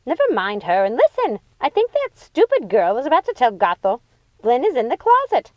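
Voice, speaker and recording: A woman's voice: a little high, huge pitch swings, moderate pace, no echo, muffled recording, pitched above the performer's own voice. A woman voicing a bossy, impatient witch sister in a storybook, snappish and commanding. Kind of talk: storybook character voice.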